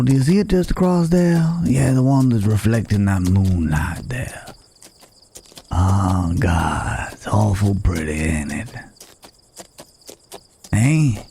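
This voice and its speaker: A man playing a rugged, wandering cowboy stranger in an intimate ASMR roleplay, with folksy old-West phrasing, a low gravelly voice and hesitant pauses, gently teasing and caring. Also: slight background noise, full character voice. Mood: gentle, reassuring. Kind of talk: ASMR roleplay, intimate acted monologue to the listener.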